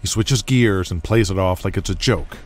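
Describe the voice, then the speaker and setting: A man narrating a dramatic scripted audio story in a measured, suspenseful way. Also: sits low. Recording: loud music behind the voice. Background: loud music.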